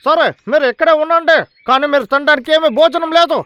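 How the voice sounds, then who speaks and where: A man's voice: very high voice, fairly even intonation, quick pace, sharp tone, muffled recording, a little hiss or hum. A man voicing an exasperated, grumbling logger in a dubbed children's cartoon, whining and scolding. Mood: indignant. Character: exasperated grumbling male logger, pestered in his own house. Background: cartoon music.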